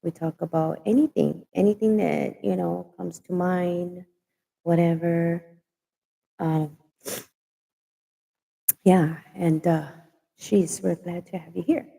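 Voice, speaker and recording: A woman in her late forties hosts a casual live chat. She speaks very slowly, in a gravelly, somewhat low voice. She often pauses with fillers and restarts. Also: fairly even intonation, dark tone, big-room sound, low-quality recording. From Hawaii. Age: late 40s. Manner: slow, halting, many fillers and restarts. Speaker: host of a live stream.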